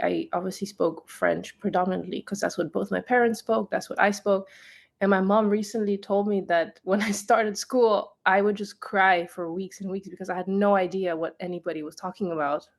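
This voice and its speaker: A young woman guest who grew up in Tanzania talks through her past in a calm, measured way. Her voice sits in a middle range, and she laughs lightly now and then. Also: medium pitch. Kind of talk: relaxed conversational storytelling.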